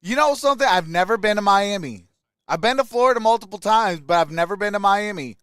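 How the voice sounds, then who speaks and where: Guy doing casual sports stream commentary, high clear voice, really dry close sound. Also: expressive, fast talker, a bit bright, dry recording. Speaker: sports livestream host reacting to a game. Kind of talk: casual live play-by-play and chat with viewers.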